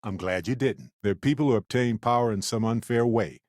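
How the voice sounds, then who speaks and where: A man playing a friendly, wry, quick-witted space explorer companion in a video game, with a playful and expressive delivery. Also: medium pitch, no echo, clean studio sound, lightly acted. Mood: sombre, earnest. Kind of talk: acted video game dialogue lines.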